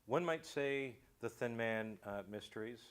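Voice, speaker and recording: A man in casual talk-show conversation as a radio show creator, often pausing and restarting his phrases. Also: medium pitch, a bit bright, smooth.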